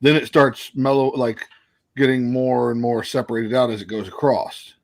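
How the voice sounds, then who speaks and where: A man co-hosting a casual podcast over a video call, earnestly laying out his theories as he thinks out loud. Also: mid-range voice, steady tone, moderate pace. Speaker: podcast co-host.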